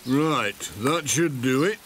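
A man with a Northern English accent playing an easygoing, obliging middle-aged village handyman in a children's cartoon, unhurried and folksy. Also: mid-range voice, expressive, over loud music.

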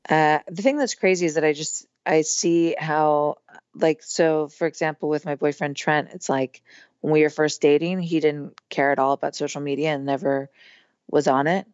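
A woman in her thirties with a hoarse, strained voice, chatting casually as a podcast guest with lots of fillers. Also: low voice, steady tone, moderate pace, a little mellow, no echo, highs cut off. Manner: chatty, rambling with many fillers. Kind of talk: casual conversation.

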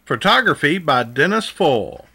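A man's voice: a little high, big pitch swings, unhurried, vocal fry, bright, forceful tone, husky. A man reading a children's story aloud in a sing-song way, prompting the young listener with questions. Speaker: storybook reader.

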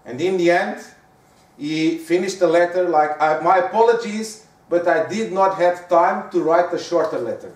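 A man from Portugal with a Portuguese accent, whose first language is Portuguese, giving a lively lecture on presenting and often asking the audience rhetorical questions. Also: high voice, moderate pace. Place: lecture room. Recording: echoey room, speaker far from the mic.

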